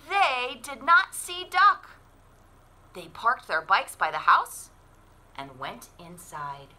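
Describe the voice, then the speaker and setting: Animated woman reader with a bright voice. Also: sits high. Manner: expressive, deliberate storytelling delivery. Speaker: library storyteller reading a picture book. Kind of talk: animated children's read-aloud.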